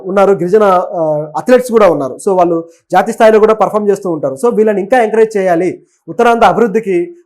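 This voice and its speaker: A man presents sports and political news in a conversational way. His voice sits high and he talks quickly. He explains as he goes, gives his opinions and drops in English words. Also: a little mellow. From Telugu states of southern India. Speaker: web news presenter and commentator.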